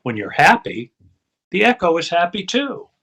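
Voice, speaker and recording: A man's voice: sits high, very animated, normal speed, creaky, pushed and bright, breathy edge, voice pushed up, heavily acted. A man voicing a wise old beaver in a children's storybook, reassuring as he explains things. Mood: wise, explaining.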